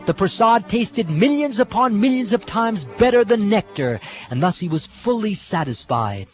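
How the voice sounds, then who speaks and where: A man reads devotional scripture as dramatic narration, deliberate and expressive, drawing out the ends of phrases. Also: high-pitched. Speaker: scripture reader. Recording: sitar music behind the voice. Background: sitar music.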